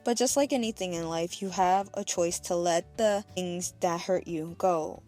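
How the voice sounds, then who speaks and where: A woman's voice: on the low side, a little slow, dark tone, faint music behind. A young American woman from the United States, narrating her childhood in a chatty voice-over and laughing at herself along the way. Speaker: vlogger. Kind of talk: casual storytelling voice-over.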